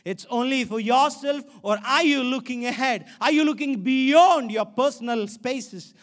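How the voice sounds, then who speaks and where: A male pastor with an Indian accent delivers a sermon in a strikingly high-pitched voice. He is emphatic and exhorting, and he repeats key phrases to press them home. He speaks into a handheld microphone. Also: unhurried, a bit bright. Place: large stage in an indoor auditorium.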